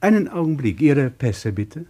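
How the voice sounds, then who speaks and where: An obliging, evasive bureaucrat of the Soviet era in a radio play. The man's husky, mid-pitched voice swoops widely as he politely puts people off. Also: sing-song, moderate pace, warm tone. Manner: smooth-mannered, obliging, evasive. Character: affable, evasive male Soviet travel official.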